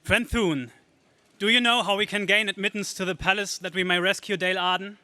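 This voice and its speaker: A man's voice: high voice, fairly even intonation, a little fast, pushed and bright, dry recording. A man playing a brave young Earth hero, earnest and urgent, in a 1930s radio serial style.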